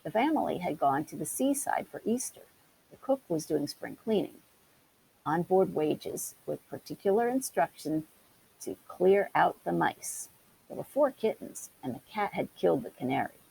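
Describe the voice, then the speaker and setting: Creaky woman reading a children's story. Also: lowish voice, very animated, normal speed, warm tone, low-quality recording. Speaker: storybook reader. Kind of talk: children's story read-aloud. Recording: hissy background noise.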